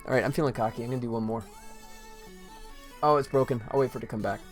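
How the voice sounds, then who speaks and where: A man's voice: mid-range voice, fairly even intonation, quick pace. Casual man thinking aloud, music behind. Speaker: indie game developer, livestream host. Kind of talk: casual work-along commentary.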